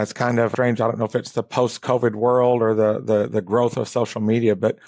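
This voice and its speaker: Gravelly-voiced male podcast host. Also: medium pitch, a little mellow, dry recording, clean studio sound. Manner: joking and self-deprecating, stammers on repeated words. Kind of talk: casual interview chat.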